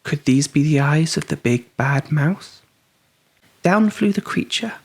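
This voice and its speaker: A narrator reading a children's story aloud expressively, with dramatic, hushed suspense. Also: mid-range voice, expressive, a little slow.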